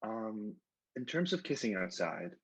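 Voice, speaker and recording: A man calling in to a phone-in show, chatting casually in a halting, tentative way. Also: on the low side, big pitch swings, fairly bright tone. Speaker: phone-in caller. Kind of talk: hesitant casual phone chat.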